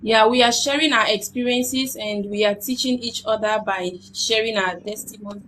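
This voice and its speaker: A woman's voice: fairly high pitch, steady tone, moderate pace, fairly bright tone, poor audio. Friendly Cameroonian woman hosting a chat. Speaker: host. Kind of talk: casual chat. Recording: faint background noise.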